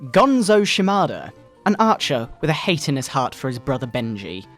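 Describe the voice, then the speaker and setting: Casting: male performer as indignant Bible-thumping father, theatrical and scolding, highly varied intonation, game music underneath. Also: sing-song, moderate pace, fairly bright tone. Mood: mocking. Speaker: video narrator. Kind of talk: dramatic satirical reading, sermon-like. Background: video game music.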